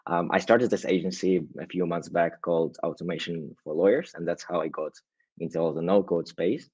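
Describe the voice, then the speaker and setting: Low husky-voiced man from Russia, a patient guest who explains step by step over a dull, dry line. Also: fairly even intonation, fairly quick, darkish tone, no room sound. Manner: hedging, frequent "right?" tags and restarts. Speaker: guest, automation agency founder. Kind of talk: casual explanatory interview talk.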